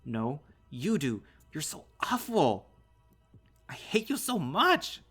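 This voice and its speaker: A man voicing an angry, defiant animal boy, wounded and desperate, with foul-mouthed shouted outbursts in an audio story. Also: medium pitch, lively intonation, normal speed, soft background music, voice pushed up. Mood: tearful screaming rage.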